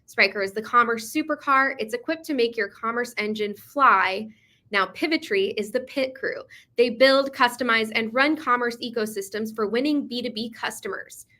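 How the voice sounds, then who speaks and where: A woman's voice: a little high, moderate pace, pushed and bright. A woman moderating a business webinar, with a polished, upbeat delivery. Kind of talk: scripted moderation.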